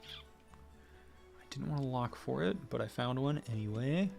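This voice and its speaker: A man's voice: medium pitch, lively intonation, measured pace, creaky, a little mellow, soft background music. A man streaming a video game, casually thinking aloud and muttering to himself between bursts of exclamation. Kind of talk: casual live gameplay commentary, thinking aloud.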